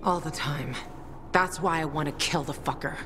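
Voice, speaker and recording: A woman's voice: deep voice, moderate pace, background noise, lightly acted. An actress playing a tough, cynical young female sniper in a video game, blunt and sardonic. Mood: deadpan menace. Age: young adult. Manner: blunt, sardonic, foul-mouthed. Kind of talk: video game cutscene acting. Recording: game soundtrack music behind.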